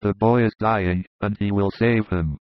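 Guy voicing a gruff roman soldier, lower and pushy, blunt insults, dull dry sound. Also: fairly even intonation, unhurried, no echo, lightly acted. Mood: angry. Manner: harsh, insulting, urgent. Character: rough angry Roman soldier, blunt and desperate.

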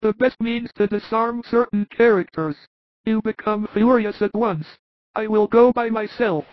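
A man voicing an eager, good-humored male apostle who talks in a lively, reassuring way. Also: high voice, unhurried, dry recording, highs cut off, pitched above the performer's own voice. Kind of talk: audiobook reading.

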